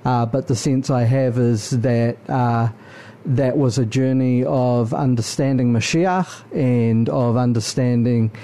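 Mellow-voiced New man, earnest. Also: medium pitch, fairly even intonation, clear, resonant tone. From New Zealand. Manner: earnest, measured, thoughtful. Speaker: podcast guest. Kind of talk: conversational interview.

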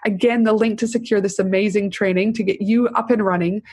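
Woman podcast host, bubbly, mid pitch, dry close recording. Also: steady tone, brisk, a little mellow, slightly rough, no echo. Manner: bubbly, enthusiastic, upbeat. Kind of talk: podcast interview and ad read.

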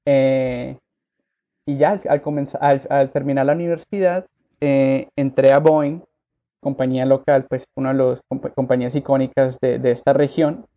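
Gravelly-voiced Colombian guest. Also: male voice, mid-range voice, normal speed, muffled recording. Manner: relaxed, many fillers and affirmations. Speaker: podcast guest. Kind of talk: conversational interview.

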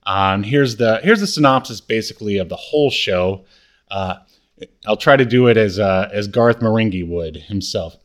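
A man with a fairly low voice chats casually as a podcast co-host. He thinks aloud, feeling his way through sentences in a halting manner. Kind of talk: casual chat about obscure media.

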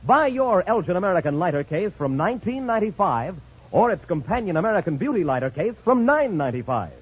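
A man's voice: high-pitched, big pitch swings, fast talker, breathy edge, highs cut off. A man reading a scripted 1950s radio advertisement on an old broadcast recording. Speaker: commercial announcer.

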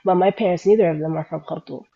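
A woman's voice: lowish voice, fast talker, husky, highs cut off. A young woman who grew up between Sudan and the United States, talking reflectively as a guest and often restarting her sentences. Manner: reflective, searching for words with many restarts. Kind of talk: casual interview talk.

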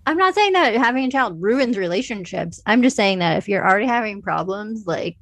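A woman with plenty of vocal fry and a mid-range pitch, recorded close and dry. She chats casually as a podcast co-host, hedging and peppering her talk with "like". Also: lively intonation, brisk, a bit bright, dry recording. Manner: informal, hedging, many uses of "like". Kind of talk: casual chat.

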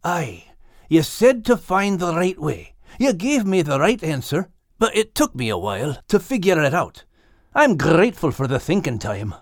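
Lively Scottish animal hero. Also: male voice, on the high side, moderate pace, no room sound, clean studio sound, pitched above the performer's own voice. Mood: grateful, thoughtful. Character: plucky, kindly, humble male animal adventurer.

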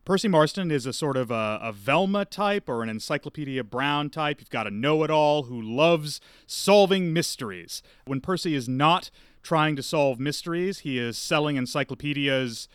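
A man's voice: fairly high pitch, lively intonation, normal speed, a bit bright, clear, resonant tone, dry recording, clean studio sound. A man hosting and playing on a show, talking casually as he introduces things to the listeners. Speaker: host and player on the show.

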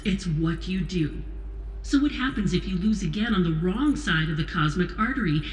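A woman playing a stern, commanding president in an audio drama, speaking in a measured, authoritative way. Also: lowish voice, close to an everyday voice. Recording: roomy echo, faint noise.

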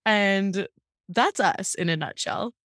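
A woman's voice: mid-range voice, slow pace, fairly bright tone, no room sound, clean studio sound. A young woman co-hosting a casual chat podcast, upbeat and giggly with lots of fillers, fighting allergies. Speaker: podcast co-host.

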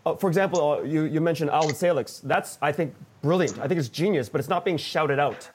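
A man speaking as a podcast creator in an informal roundtable, halting and often restarting his sentences. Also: fairly high pitch. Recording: noisy voice track with clicks.

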